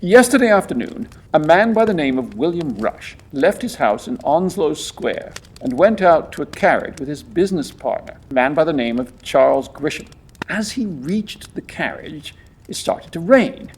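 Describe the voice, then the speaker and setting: A man's voice: a little high, sing-song, normal speed, slight background noise. A man playing an earnest, deferential and rather agitated police inspector in an audio drama. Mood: baffled, earnest. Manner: emphatic, deferential. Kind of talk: audio theatre acting.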